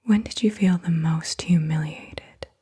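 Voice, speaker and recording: A woman speaks in a very breathy ASMR whisper, with hardly any voiced tone. Her voice is soft and mellow, a little low. She guides the listener in short, deliberate phrases with pauses between them. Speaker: ASMR host.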